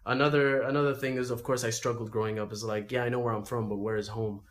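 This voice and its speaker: A young man from Dubai, a rapper, talks through questions about identity in a casual, thoughtful way. He muses aloud with plenty of fillers, at an ordinary middle pitch. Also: fairly even intonation, fast. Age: young adult. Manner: reflective, loose and chatty, many fillers. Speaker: interview guest, rapper. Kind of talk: casual interview.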